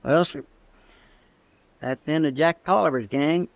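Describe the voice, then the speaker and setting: An actor playing a deferential, plaintive frontier deputy with a rural American Western drawl, in old radio drama style. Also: male voice, fairly high pitch, unhurried, gravelly, dry recording. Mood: subdued. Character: folksy, anxious, deferential deputy of a frontier marshal. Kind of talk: 1950s radio drama acting.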